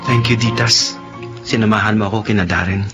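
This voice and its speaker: An actor with a Filipino accent playing a gentle, self-sacrificing young man in a radio drama. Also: fairly low pitch, fairly even intonation, brisk, loud music behind the voice, natural voice. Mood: resigned, sad. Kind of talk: Tagalog radio drama acting. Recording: faint background noise.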